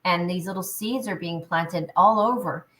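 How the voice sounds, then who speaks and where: A woman guest on a radio show talking about spiritual awakening, energetic with lots of ums and restarts. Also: on the low side, moderate pace. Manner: energetic, many fillers and restarts. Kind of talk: interview answers, spiritual talk.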